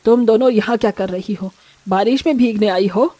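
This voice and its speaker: A woman's voice: mid-range voice, moderate pace, mellow, no echo, natural voice. A woman voicing an imperious, contemptuous middle-aged mother-in-law in a cartoon story. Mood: scornful, bossy. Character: haughty, scolding rich mother-in-law in a cartoon. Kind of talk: Hindi cartoon morality story acting.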